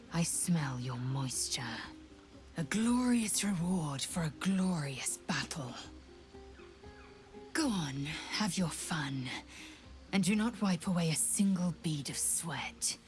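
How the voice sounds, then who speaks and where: A woman's voice: low-pitched, expressive, slow pace, a little mellow, soft background music. A woman voicing a fierce, predatory alien warrior in a fantasy game, speaking with clipped formality and menacing intimacy. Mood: hungry, seductive. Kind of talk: dramatic fantasy game acting.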